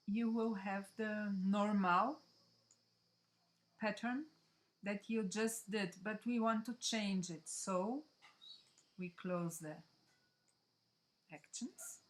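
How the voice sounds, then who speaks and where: A Brazilian woman with a Portuguese accent talks through a software tutorial at an unhurried, measured pace. Her English is halting, and she keeps pausing to check in with her listener. Her voice sits at an ordinary middle pitch. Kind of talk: screen-recorded software tutorial.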